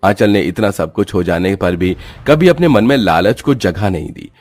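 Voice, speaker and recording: Low-voiced male story narrator. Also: moderate pace, a little mellow, no room sound.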